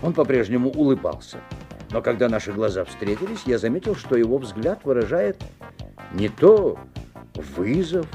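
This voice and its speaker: An actor playing a wary, observant father who narrates a strange tale expressively, with theatrical pauses. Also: medium pitch, big pitch swings, mellow, close to an everyday voice. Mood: wondering, uneasy. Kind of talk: dramatic literary narration. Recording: 1970s audio play, music behind.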